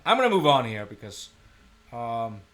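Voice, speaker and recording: A middle-aged man from Denmark with a Danish accent, hosting a casual live software tutorial, thinking aloud in an informal, self-deprecating way. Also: medium pitch, big pitch swings, moderate pace. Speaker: tutorial live stream host.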